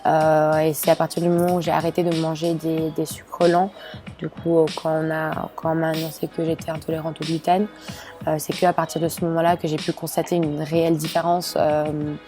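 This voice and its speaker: A young woman in her late teens from Belgium, telling her own story with many pauses, fillers and restarts. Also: low voice, flat delivery, dark tone, soft background music. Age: young adult. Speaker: student sharing her experience. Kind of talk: personal testimony to camera.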